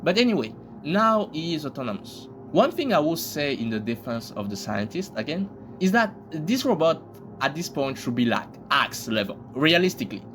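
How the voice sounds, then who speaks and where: Male reaction host, very animated pitch, joking slangy commentary, loud music bed. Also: normal speed. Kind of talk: casual scripted commentary with slang.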